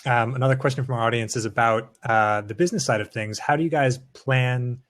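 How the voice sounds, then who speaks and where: A man's voice: mid-range voice, fairly quick, fairly bright tone. A man hosting a podcast interview, putting audience questions to guests in a casual way. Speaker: podcast host.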